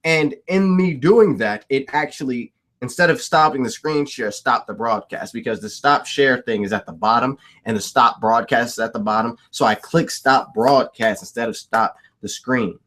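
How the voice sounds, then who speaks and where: A man's voice: mid-range voice, moderate pace, few pauses, a bit bright. A man hosting a casual livestream, who laughs often, repeats himself and answers his chat. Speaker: livestream host.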